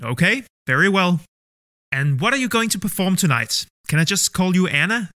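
A man's voice: sits high, lively intonation, moderate pace, bright, forceful tone, no room sound, studio quality. A man hosting a mock talent show in an upbeat, showman-like way. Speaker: host.

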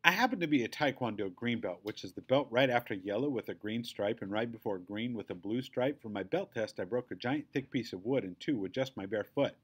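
A man's voice: on the low side, lively intonation, brisk, few pauses, a bit bright, husky. A man voicing a chatty, earnest, indignant little girl in a children's book. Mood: proud. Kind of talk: children's book read-aloud.

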